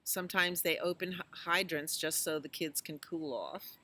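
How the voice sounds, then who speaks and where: A woman's voice: lowish voice, fairly even intonation, moderate pace, pushed and bright, dry recording. A woman reading a picture book aloud to children, with chatty asides, exclamations and questions to her listeners. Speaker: children's storytime reader at a library.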